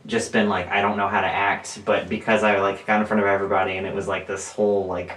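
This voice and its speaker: A man of twenty-five, co-hosting a podcast, telling stories in an animated way with lots of fillers and frequent laughs. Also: lowish voice, fast. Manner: animated storyteller, laughs often, many fillers. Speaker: podcast co-host. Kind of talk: casual chat. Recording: roomy sound, mic at a distance.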